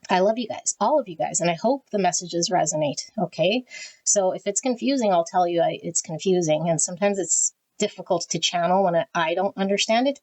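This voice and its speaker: A woman giving a tarot reading to her viewers, talking frankly and often tagging "okay?" onto her sentences, with her voice swinging high and low. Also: darkish tone, rough recording. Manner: pitch swings high and low as she talks. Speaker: tarot reader. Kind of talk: casual direct-to-camera tarot reading.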